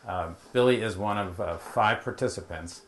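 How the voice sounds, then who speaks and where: Man, executive director, lowish voice, explanatory, "uh" fillers, echoey room. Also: normal speed, a little hiss or hum. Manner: explains at length, with frequent "uh" fillers. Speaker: executive director of a care home.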